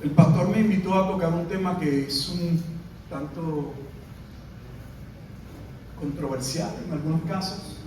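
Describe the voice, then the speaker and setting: A middle-aged man from Puerto Rico, with a Puerto Rican Spanish accent, giving a measured teaching sermon at a conference, with pauses and fillers. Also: a little high, mellow, a little hiss or hum. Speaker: pastor. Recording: echoey room, mic at a distance.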